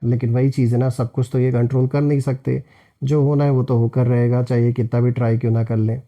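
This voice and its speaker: Mellow-voiced man reading tarot. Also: mid-range voice, level tone, brisk. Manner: explanatory, addresses listeners directly as friends. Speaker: tarot card reader and host. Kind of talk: tarot reading addressed to viewers.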